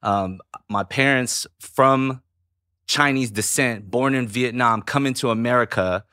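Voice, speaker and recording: A man's voice: medium pitch, a little slow, sharp tone, clean tone, no echo, clean studio sound. A man chatting casually as a podcast guest, telling rambling stories in a laid-back way.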